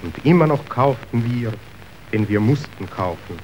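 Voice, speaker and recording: Actor as a slow, theatrical male messenger of doom. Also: medium pitch, animated, a little mellow, a little hiss or hum, lightly acted, rough recording. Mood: grave, despairing. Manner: theatrical, dramatic, swinging from grave to frenzied. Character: impassioned male messenger reporting a stock market collapse. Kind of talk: declamatory verse narration in German radio drama.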